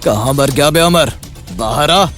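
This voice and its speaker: A man's voice: on the high side, expressive, unhurried. A man voicing a menacing, bullying village landlord who speaks in threats and commands. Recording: clear background music under voice.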